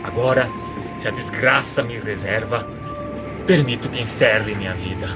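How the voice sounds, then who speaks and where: A man's voice: lowish voice, normal speed, over loud music, lightly acted. A man playing an anguished, aging father king who prays solemnly and pleadingly in an epic audio drama.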